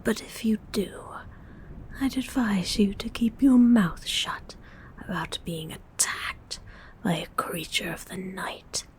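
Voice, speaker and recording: A woman voicing a predatory, hungry female vampire in a breathy whisper, a seductive and politely menacing ASMR roleplay monologue. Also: medium pitch, close to an everyday voice, poor audio. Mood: predatory menace. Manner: slow, drawn-out, teasing menace. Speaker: ASMR voice performer.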